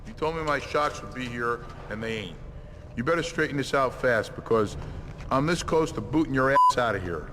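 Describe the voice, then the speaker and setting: An actor playing a blunt, demanding bike-shop boss in a video game cutscene. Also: male voice, medium pitch, expressive, moderate pace, very noisy, lightly acted. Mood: angry, threatening. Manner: blunt, bossy.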